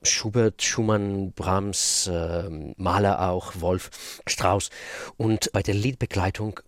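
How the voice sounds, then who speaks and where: A man from Russia speaks, answering interview questions in a low voice. He is thoughtful, pauses to search for words and laughs easily. The sound is dry and close, as in a radio studio. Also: fairly even intonation, no echo. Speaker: guest pianist.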